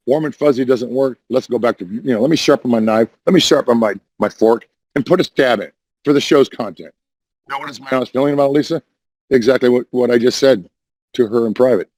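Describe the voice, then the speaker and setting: A man with a hoarse, worn voice talks on and on, restarting his sentences and defending himself. His pitch sits in the middle, and the sound is dry and close to the mic. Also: darkish tone, dry recording. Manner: rambling, defensive, repeats and restarts. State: hoarse, says voice is damaged. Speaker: Discord voice chat caller. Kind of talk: rambling casual chat.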